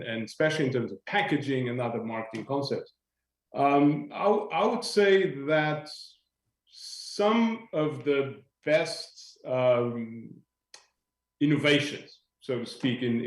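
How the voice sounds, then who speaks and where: A man talks as a panelist over a video call, weighing his words and slipping in light jokes. His voice sits at an ordinary middle pitch. The room around him rings with a noticeable echo. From Portugal. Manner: thoughtful, searching for words, lightly joking. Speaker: industry panelist. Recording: echoey room.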